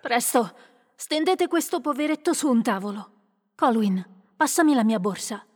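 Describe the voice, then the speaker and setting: A woman voicing a calm female companion and healer who leads the group, in an Italian radio drama, speaking in a composed, authoritative way. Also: on the high side, normal speed.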